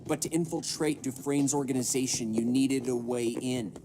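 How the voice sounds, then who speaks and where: An actor playing a stern, cold government interrogator, pointed and prosecutorial, in a game cutscene. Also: male voice, mid-range voice, fairly even intonation, moderate pace, over music, lightly acted. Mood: accusing.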